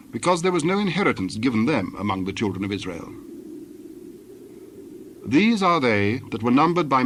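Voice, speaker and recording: Guy reading the bible as narrator, formal n measured, mid pitched, noisy ambience behind him. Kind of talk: scripted dramatized scripture narration.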